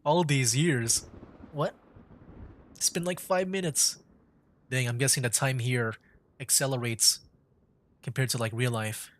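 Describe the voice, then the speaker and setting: A man's voice: mid-range voice, measured pace, long pauses. A man streaming a video game, reacting casually in informal, filler-heavy talk. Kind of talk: casual livestream gameplay reaction.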